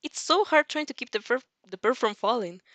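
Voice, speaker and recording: Woman playing a naive, eager young vampire girl, high-pitched. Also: brisk, dry recording. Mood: earnest. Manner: wide-eyed, earnest, excitable.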